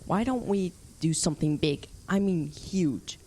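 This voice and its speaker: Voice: mid-range voice, expressive, measured pace, mellow. A young performer playing a bold, scheming teenage boy who leads a gang of kids in a radio drama, persuasive and informal. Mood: eager, persuasive. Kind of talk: youth radio drama acting. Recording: noticeable background noise.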